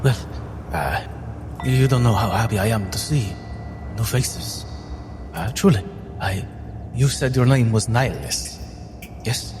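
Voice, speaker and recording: A man's voice: on the low side, noisy background, lightly acted. Man playing a hesitant, courteous nobleman in reverberant hall. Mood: flustered, welcoming. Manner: hesitant, halting, formal politeness. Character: nervous, courteous noble host of a manor. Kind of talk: in-character tabletop roleplay. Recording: long hall reverb under loud music.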